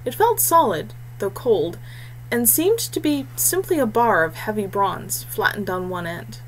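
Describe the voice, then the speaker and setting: A woman's voice: mid-range voice, measured pace, low-quality recording. A woman reading a scripted fantasy story as an audiobook narrator. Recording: clear steady background noise and hum.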